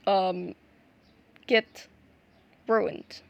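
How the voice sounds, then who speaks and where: A young woman whose first language is Arabic, talking through a craft tutorial in a hesitant, casual way with many pauses and restarts. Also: mid-range voice, fairly even intonation, creaky, dry recording. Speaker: art vlogger.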